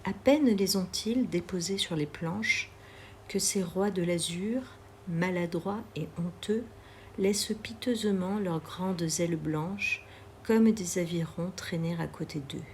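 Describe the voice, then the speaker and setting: A woman reads literary poetry aloud in a measured, deliberate way, pausing between phrases. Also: lowish voice, darkish tone, a little hiss or hum. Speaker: poetry reader.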